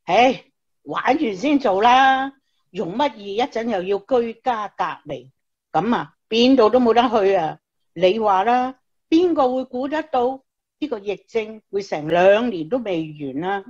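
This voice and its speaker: Woman acting a slow, grumbling, skeptical friend. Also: fairly high pitch, lively intonation, darkish tone, highs cut off. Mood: gloomy, resigned. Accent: Hong Kong Cantonese. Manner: drawn-out, sighing complaints. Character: grumbling, skeptical, fun-loving young female friend. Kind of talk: Cantonese radio drama dialogue.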